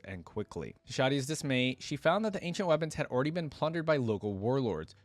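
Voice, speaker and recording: A man's voice: mid-range voice, no room sound. Man hosting a casual reaction, dry close sound. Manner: casual, addresses viewers directly. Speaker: host of a game lore reaction channel. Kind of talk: reaction commentary with read-aloud story passages.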